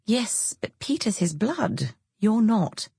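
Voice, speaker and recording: A woman's voice: medium pitch, sing-song, slow, vocal fry, mellow, husky, no room sound, pitched above the performer's own voice, exaggerated voice. A woman voicing a witty, mischievous bohemian female friend in an audiobook, with a dry, teasing, theatrical drawl. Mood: dry, caustic. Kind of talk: audiobook character dialogue.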